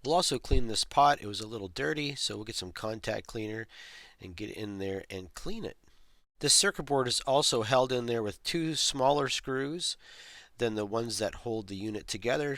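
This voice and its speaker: A man's voice: mid-range voice, expressive, moderate pace, fairly bright tone, no room sound, studio quality. A man hosting a hands-on repair tutorial, casually explaining each step as he works. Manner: explains step by step while working. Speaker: host of a toy restoration tutorial.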